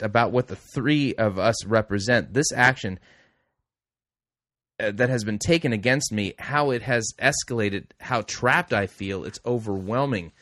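A middle-aged male host talking casually on his program, trailing off and restarting with wry little asides. His voice sits at an ordinary pitch and sounds close and dry, with no room around it. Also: a bit bright, no echo. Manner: rambling, self-correcting, wry asides. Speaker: radio host. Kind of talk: casual talk show commentary.

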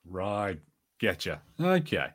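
A man's voice: low voice, big pitch swings, slow. A man co-hosting a podcast, speaking in casual, conversational fan chat. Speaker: podcast co-host.

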